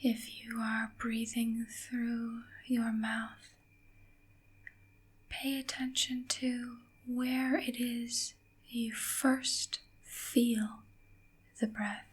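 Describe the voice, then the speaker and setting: A woman softly whispering a guided ASMR meditation in a gentle, calming manner, leaving long pauses between short phrases. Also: a little high. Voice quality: soft, breathy whisper.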